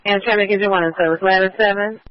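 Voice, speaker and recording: High-voiced male fire dispatcher on radio. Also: steady tone, quick pace, background noise. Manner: repeats back each unit's message in formal radio phrasing. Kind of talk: clipped radio dispatch procedure. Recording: muffled radio transmission.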